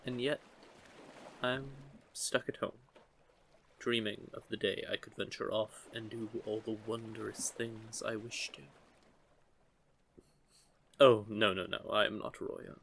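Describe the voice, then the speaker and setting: A man voicing a curious, teasing young merman in an intimate audio roleplay, with a gently formal, measured way of talking. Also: fairly low pitch, level tone, a little hiss or hum, poor audio. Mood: wistful. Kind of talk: scripted audio roleplay, intimate one-sided conversation.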